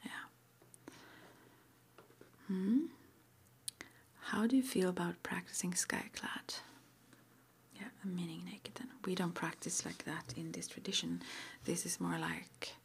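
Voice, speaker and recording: Whispered ASMR roleplay: woman as gentle, reassuring witch school interviewer, unhurried, lowish and creaky, faint background noise. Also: animated. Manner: soft, unhurried, reassuring, with murmured acknowledgements.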